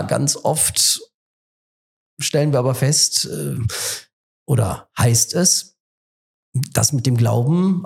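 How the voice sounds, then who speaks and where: A man with a husky, middle-pitched voice, congested from a head cold. He hosts a casual podcast from a rectory kitchen table and feels his way through his thoughts, pausing with fillers before he lands on a phrase. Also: warm tone. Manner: thinks aloud with many pauses and fillers. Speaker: podcast host. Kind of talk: casual conversation.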